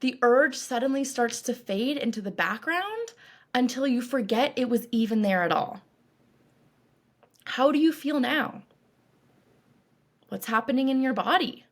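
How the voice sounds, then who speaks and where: A woman coach leading a guided meditation in a calm, reassuring, instructive way, with deliberate phrasing. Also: sits high. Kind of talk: guided meditation, scripted narration.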